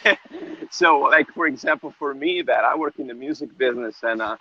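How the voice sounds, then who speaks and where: A man whose first language is Italian, a music business lecturer talking as a guest, enthusiastic but halting with many fillers. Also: mid-range voice, bright, forceful tone. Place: Dublin, Ireland. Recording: dull lo-fi app call with faint noise.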